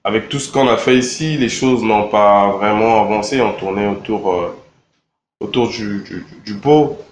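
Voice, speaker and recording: Young congolese guy rapping about his career in an interview, lowish voice, lots of euh and restarts, roomy echo and faint background hum. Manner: relaxed, halting with many fillers and repeats. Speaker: rapper interviewed. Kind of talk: casual interview answers. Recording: echoey room with faint ambience.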